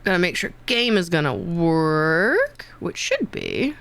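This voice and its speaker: Lively rambling young woman streamer. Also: fairly low pitch, slow. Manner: rambling, self-teasing, lots of fillers and laughs. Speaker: VTuber streamer. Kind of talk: casual stream chat.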